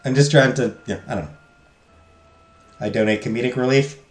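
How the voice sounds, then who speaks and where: Loud-voiced man streaming a game. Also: medium pitch, a little fast. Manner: loud, talks loudly. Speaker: streamer playing a visual novel. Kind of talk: reading game text aloud and chatting with viewers. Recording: roomy echo, faint game music.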